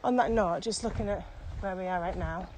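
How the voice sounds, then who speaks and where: A woman's voice: lowish voice, fairly quick. A woman co-hosting a casual, reflective podcast chat, asking questions conversationally and slightly out of breath as she walks. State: slightly out of breath from walking uphill. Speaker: podcast co-host. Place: outdoors, walking through a pine grove in Morocco. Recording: clearly noisy outdoor walking recording.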